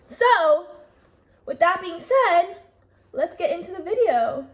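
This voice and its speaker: A woman's voice: extremely high pitch, animated, slow pace. A woman in her twenties chatting casually in a bubbly, talkative way, peppering her speech with "like" and "literally". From US Northeast. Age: 20s. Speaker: YouTuber. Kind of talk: casual Q&A vlog.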